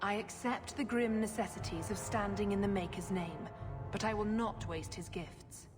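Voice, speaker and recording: A woman's voice: mid-range voice, steady tone, normal speed, close to an everyday voice. Casting: condescending religious zealot, female actor, medium register, poised and cold, fantasy game, ringing in a large hall. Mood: dismissive. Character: haughty, zealous religious sister, coolly scheming. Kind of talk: video game cutscene acting. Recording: long hall reverb, music behind.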